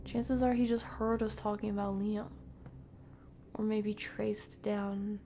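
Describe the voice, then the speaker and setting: A woman's voice: medium pitch, level tone, slow, warm tone, a little hiss or hum. A woman streamer playing a horror game live, reading dialogue aloud and reacting. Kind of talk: live gameplay commentary.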